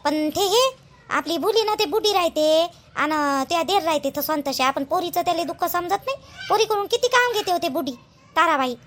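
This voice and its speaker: A woman's voice: very high-pitched, moderate pace, fairly bright tone, a little hiss or hum. A woman playing an aggrieved, talkative village daughter-in-law in a cartoon, chatty and emphatic, with a Vidarbha Marathi accent. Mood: aggrieved gossiping. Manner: chatty, complaining, emphatic with repeated phrases. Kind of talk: colloquial cartoon gossip dialogue.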